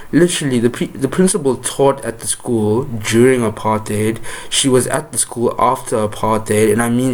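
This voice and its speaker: A man's voice: mid-range voice, rough recording. A man with a South African accent delivering an opinionated political monologue, heated and profane, often stopping and restarting his sentences. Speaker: political commentator.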